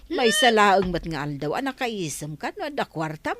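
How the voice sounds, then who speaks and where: A woman's voice: mid-range voice, big pitch swings, normal speed, a bit bright, slight background noise. A woman playing a frank, teasing villager in an Ilocano radio comedy drama, chatty and full of little interjections.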